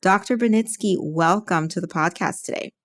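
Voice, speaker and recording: A woman's voice: lowish voice, normal speed. A woman hosting a medical podcast interview, asking prepared questions as a neurologist. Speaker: podcast host, physician.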